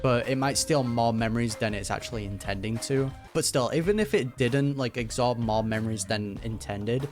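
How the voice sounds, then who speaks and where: A man giving casual gaming reaction commentary, informal and slangy, recapping in plain words. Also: mid-range voice. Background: game music.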